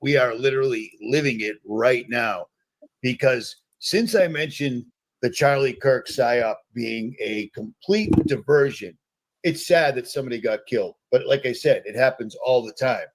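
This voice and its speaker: A man's voice: mid-range voice, moderate pace. A man in his fifties from the United States, hosting a live reaction stream and ranting in a conspiratorial, repetitive way. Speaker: host.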